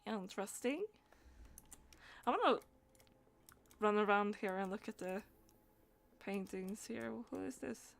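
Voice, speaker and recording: A woman's voice: mid-range voice, unhurried, vocal fry, long pauses. A woman doing casual live gaming stream commentary, thinking aloud hesitantly and letting phrases trail off.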